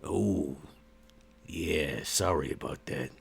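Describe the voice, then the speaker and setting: Man playing a deep, gravelly, loyal vampire bruiser. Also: slow pace, full character voice. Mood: gruff, laid-back. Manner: gruff, laconic, folksy. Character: Max, gruff, loyal, easygoing male vampire bruiser.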